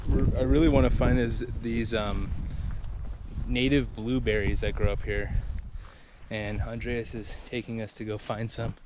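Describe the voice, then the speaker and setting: A man's voice: medium pitch, level tone, a little slow, background noise. A man from Hawaii hosting an outdoor vlog, talking enthusiastically as he explores and often exclaims. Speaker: vlog host. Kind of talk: casual on-camera vlog narration. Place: outdoors on a mountain hike.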